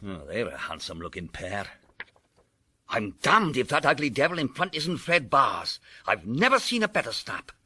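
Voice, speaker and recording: A man's voice: mid-range voice, big pitch swings, normal speed, sharp tone, dry recording, clean studio sound, exaggerated voice. A man playing an exasperated, blustering police detective who protests at his reckless friend, in a detective radio drama. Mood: curious, surprised. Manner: gruffly exclamatory, protesting. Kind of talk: tongue-in-cheek golden age detective radio drama.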